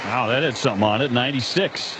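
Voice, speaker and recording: A man's voice: medium pitch, fast. A man giving live commentary as part of a baseball broadcast. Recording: faint stadium noise behind voice. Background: faint ballpark ambience.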